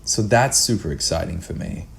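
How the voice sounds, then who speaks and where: A man's voice: low-pitched, moderate pace, vocal fry, fairly bright tone, a little hiss or hum. A man speaking casually and enthusiastically as a guest filmmaker in an interview. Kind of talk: casual conversational interview answers.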